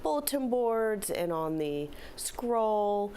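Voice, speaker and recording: A middle-aged woman, a philanthropy director, slightly hoarse, giving upbeat, chatty announcements in a studio interview. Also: medium pitch, sing-song, slow pace, dark tone. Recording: faint background noise.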